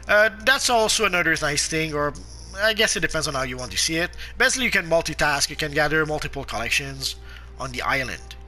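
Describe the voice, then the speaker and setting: A man's voice: on the high side, animated, sharp tone, soft background music. A man with a sore throat and sniffles, casually talking through a game guide with many fillers and restarts. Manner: halting, frequent "uh" fillers and restarts. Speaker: gameplay guide narrator.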